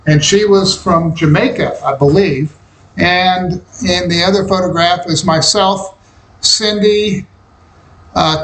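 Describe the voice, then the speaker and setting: A man's voice: fairly high pitch, fairly bright tone. Older man recalling his life, roomy sound. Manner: reflective, unhurried storytelling. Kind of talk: personal memoir narration. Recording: roomy echo.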